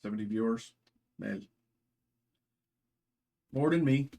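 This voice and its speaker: A man's voice: medium pitch, slow pace, dark tone. A man hosting a live card break in a put-on Irish accent, rattling off card names from each pack.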